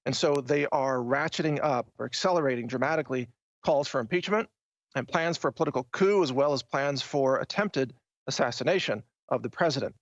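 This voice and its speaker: A man's voice: medium pitch, a little fast, dry recording. An American man hosting a political talk show, speaking in an emphatic, opinionated, conversational monologue. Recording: dull, band-limited dry audio.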